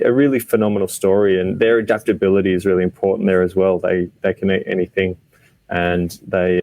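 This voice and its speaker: A man explaining as an expert guest on a panel, conversational, with a somewhat halting delivery. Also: low-pitched, darkish tone, no room sound.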